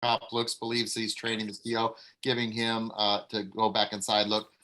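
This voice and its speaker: Low, bright-voiced man reading stage directions. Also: monotone, moderate pace, rough recording. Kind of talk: live script table read narration.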